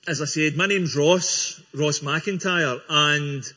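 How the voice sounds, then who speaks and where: A man's voice: on the high side, slow pace, sharp tone, slightly rough. A Scottish man from Scotland giving his church testimony to a congregation, earnest and friendly, with small restarts. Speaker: guest speaker giving testimony. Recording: dull lo-fi recording.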